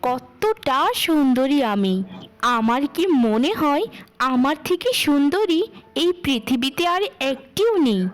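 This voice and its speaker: A very high-pitched, conceited young woman from a children's moral cartoon, acted out by a woman. She is spoiled and bossy, admires herself and talks down to others in a haughty, scolding way. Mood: smug, dreamy.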